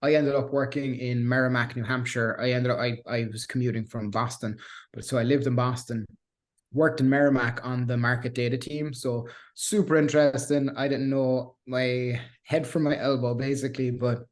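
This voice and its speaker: A man's voice: mid-range voice, flat delivery, moderate pace. Irish guy from cork, thirties, loose chatty interview talk, lots of um and uh and restarts. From Ireland. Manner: rambling, many restarts and fillers, self-deprecating laughs. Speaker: guest, software engineer. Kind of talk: casual interview chat.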